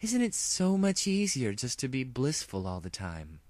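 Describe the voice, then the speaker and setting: A man's voice: mid-range voice, huge pitch swings, moderate pace, darkish tone, dry recording, pitched above the performer's own voice. A man voicing an insane female pony villain princess in a story reading, gloating and cooing with theatrical menace. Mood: soothing menace.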